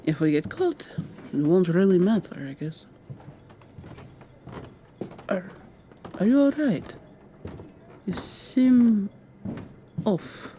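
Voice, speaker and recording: A woman performs a gentle, anxious medic in a vampire roleplay. She sounds earnest and hesitant, with a mellow tone and a faint hiss of noise behind her. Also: mid-range voice, very animated, slow pace, pauses often. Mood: nervous, apologetic. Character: anxious, gentle, caring medic helping a captive escape. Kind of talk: ASMR roleplay.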